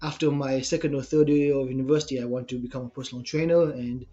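A man's voice: medium pitch, fairly even intonation, quick pace, warm tone, highs cut off, faint music behind. A young man with an Israeli accent, a personal trainer answering podcast interview questions in an earnest, explanatory way.

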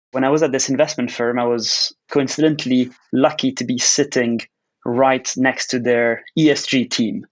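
A man from Switzerland, a company founder giving measured, explanatory answers in a podcast interview. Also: mid-range voice, lo-fi sound. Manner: measured, explanatory, with frequent "uh" pauses. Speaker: guest, startup founder and CEO.

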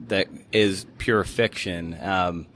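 A man's voice: low-pitched, fairly even intonation, slow, a bit bright, slight background noise. A man hosting a podcast interview in casual chat, with informal, roundabout phrasing as he works toward his questions.

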